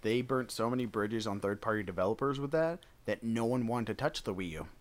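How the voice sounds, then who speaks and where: A man in casual podcast chat about video games, animated and jokey with quick asides, from the United States. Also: on the low side.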